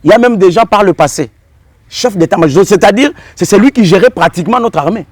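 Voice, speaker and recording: A Congolese man speaks as a guest in a political interview, in a high, very lively voice. He argues emphatically, repeating himself and throwing out rhetorical questions. The sound is dry and close. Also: quick pace, dry recording. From Democratic Republic of the Congo. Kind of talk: political and legal argument in a TV interview.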